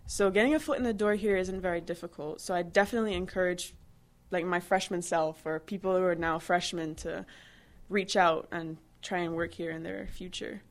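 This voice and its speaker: A woman's voice: lowish voice, steady tone, brisk. A woman in her twenties from Barbados, answering interview questions in a chatty way, with many fillers and restarts. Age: 20s. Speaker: interviewee, new employee.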